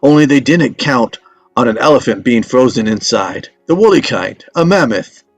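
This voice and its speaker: Male actor as elderly, ailing father in a film drama: gravelly, folksy raconteur who will not budge. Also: medium pitch, lively intonation, normal speed, no echo. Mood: wry. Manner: folksy, digressive, rhetorical storytelling. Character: stubborn ailing old father, tall-tale teller. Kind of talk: table read of a film script.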